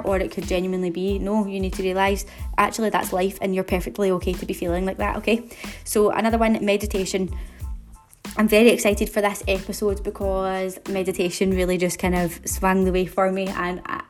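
A young woman with a Glasgow accent hosts a solo podcast episode, talking in a bubbly, upbeat and chatty way. Her voice sits in a middle pitch for a woman, and faint music plays underneath. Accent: Glaswegian. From Scotland. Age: 20s. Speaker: podcast host.

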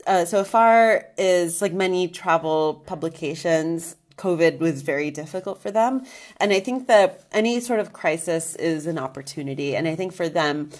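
A woman's voice: low-pitched, a little mellow. A woman guest in a casual interview, talking earnestly with many restarts and fillers. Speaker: guest, magazine editor.

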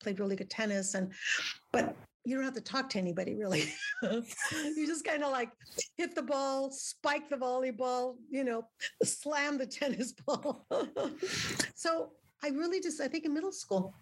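Older woman born in mexico, spanish first language, slightly high voice, chatty storyteller, laughs a lot. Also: normal speed. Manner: lively storyteller, laughs often, many restarts. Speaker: guest. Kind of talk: casual storytelling interview.

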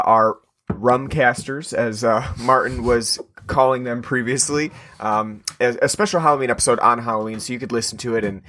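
A man hosts a podcast in loose, casual chat, circling back on himself with plenty of ums and uhs. His voice has a bright, forward edge. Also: medium pitch. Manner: rambling, filler-heavy. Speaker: podcast host.

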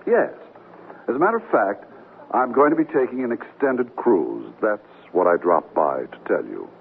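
An actor playing a courteous, chatty gentleman who stammers politely in a 1970s radio comedy. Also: on the low side, very animated, normal speed, creaky. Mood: affable. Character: polite, chatty gentleman named Tom, fond of 'old boy'. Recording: tinny, noisy 1979 radio broadcast.

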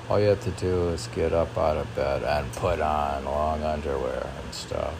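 A man's voice: low-pitched, creaky, noisy background. A man reading his own poems, rambling and questioning himself in a second voice. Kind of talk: casual self-questioning poetry reading.

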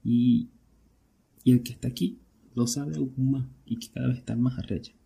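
Casting: male podcast host, Venezuelan accent, very dark relaxed tone with plenty of gravelly creak, conversational solo delivery. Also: medium pitch, moderate pace. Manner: conversational, asks and answers own questions. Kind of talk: casual solo podcast monologue.

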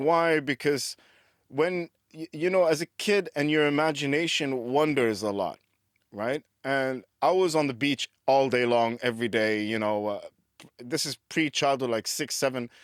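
A man in his forties talks in a loose, meandering way and pokes fun at his own tangents. His pitch sits in an ordinary middle range, and the sound is dry and close, with no room around it. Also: no echo. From Dubai. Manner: loose and rambling, laughs at himself. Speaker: guest musician. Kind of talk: casual rambling chat.

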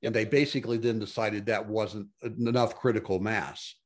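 A man's voice: lowish voice, steady tone, moderate pace. Chatty male podcast host. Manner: enthusiastic, slangy, long winding questions. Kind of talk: casual interview questions.

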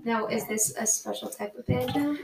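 A woman's voice: a little high, normal speed, gravelly, crowd noise. A woman co-hosting an interview who asks short, curious questions. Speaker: co-host. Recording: echoey room, distant mic. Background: banjo playing.

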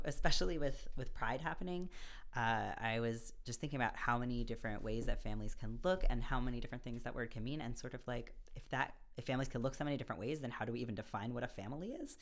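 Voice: medium pitch, a bit bright. A woman hosting a podcast, introducing guests and asking questions in a friendly, casual way. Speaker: podcast host.

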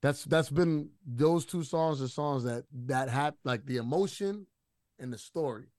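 A man with a husky voice, talking loosely with lots of restarts and fillers as an interview guest. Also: a little high, unhurried, a little mellow, dry recording, studio quality. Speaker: rapper guest. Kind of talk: casual interview talk.

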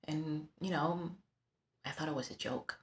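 Low-voiced woman with a Vietnamese accent. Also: fairly even intonation. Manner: halting, many fillers and restarts. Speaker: interviewee, realtor. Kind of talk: personal life story in conversation. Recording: office room with background noises.

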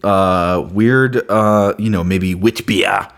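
A man co-hosting a casual beer review podcast, joking crudely with deadpan asides. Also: low-pitched, takes it slowly, vocal fry. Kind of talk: casual banter.

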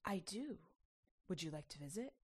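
A woman voicing a mysterious girl from another world, calm, measured and firm about rules, in a storybook reading. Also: fairly low pitch, husky, no echo. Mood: calm, inviting.

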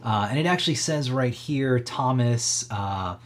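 A man with a slightly husky voice hosting a casual live tech demo, thinking aloud in a rambling, conversational way. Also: mid-range voice, animated, a little slow, slight background noise. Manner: conversational, rambling, frequent hedging asides. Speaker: livestream host demonstrating electronics projects.

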